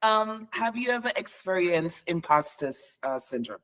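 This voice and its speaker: A woman's voice: on the low side, very animated, moderate pace, a bit bright, rough recording. A woman podcast host interviewing a guest and affirming her often. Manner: frequent affirming backchannels. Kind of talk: interview, encouraging listener.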